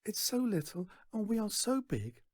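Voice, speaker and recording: A man's voice: high-pitched, big pitch swings, moderate pace, dry recording, clean studio sound, pitched above the performer's own voice. A man voicing a small, earnest, polite young boy in a storybook reading. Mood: tender. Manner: soft, earnest, hesitant.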